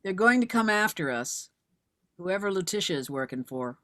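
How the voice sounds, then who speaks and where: A woman's voice: mid-range voice, expressive, normal speed, a bit bright, natural voice. A woman playing a weary but resolute widowed mother turned investigator in a screenplay table read. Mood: wry, dry.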